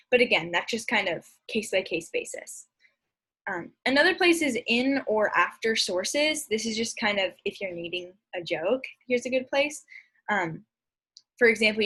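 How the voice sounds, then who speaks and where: Slightly husky young woman, casual presenter. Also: mid-range voice, fairly bright tone, low-quality recording. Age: young adult. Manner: casual, conversational. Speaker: speech and debate presenter. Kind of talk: informal instructional slide presentation. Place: indoor room at home, on a video recording.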